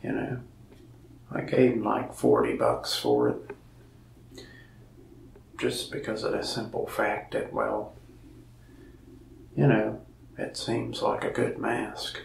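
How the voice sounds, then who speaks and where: Young male salesman, soft and half-whispered, very gravelly, mic a bit distant with faint hiss. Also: lowish voice, takes it slowly, long pauses, echoey room. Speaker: ASMR creator playing a mask shop salesman. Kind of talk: soft-spoken ASMR roleplay. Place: indoors, small room in front of a wall of masks.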